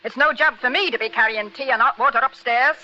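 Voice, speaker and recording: An actress playing a brisk, sharp-tongued housekeeper at a village inn in a 1940s radio drama. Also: female voice, a little high. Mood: disapproving. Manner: scolding, disapproving. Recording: dull lo-fi 1940s radio recording.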